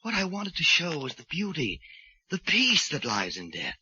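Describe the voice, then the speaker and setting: A man acting an intense, unhinged sculptor obsessed with death, in halting, theatrical 1940s radio style. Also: fairly high pitch, huge pitch swings, bright, forceful tone, slightly rough, no echo, exaggerated voice. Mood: fervent, obsessed. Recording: muffled, hissy 1940s radio recording.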